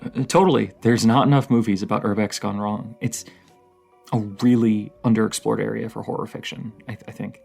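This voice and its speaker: A man playing a dorky, playful partner in an intimate audio roleplay, rambling, giggly and teasing, with a slightly creaky voice. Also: on the low side, steady tone, brisk, a little mellow, soft background music. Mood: nerdy, casual. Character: dorky, playful young romantic partner, horror film nerd.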